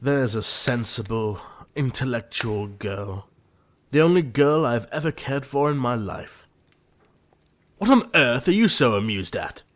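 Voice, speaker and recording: An actor playing an earnest, fussy young gentleman in a comedy audio play. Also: medium pitch, lively intonation, measured pace, lightly acted. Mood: exasperated. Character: earnest, easily exasperated young English gentleman, lovesick.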